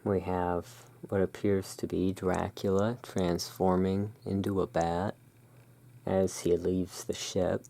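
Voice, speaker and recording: Slow, low, creaky ASMR emporium keeper. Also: level tone. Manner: unhurried, hushed, pausing between short phrases. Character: owner of a dark gothic curiosity emporium. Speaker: ASMR roleplay host as curiosity emporium owner. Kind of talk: soft-spoken ASMR tarot card showing.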